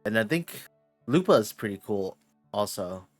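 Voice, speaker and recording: A man's voice: fairly low pitch, lively intonation, moderate pace, faint music behind. A man streaming a game, chatting casually in a rambling way with lots of fillers. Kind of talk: casual commentary over gameplay.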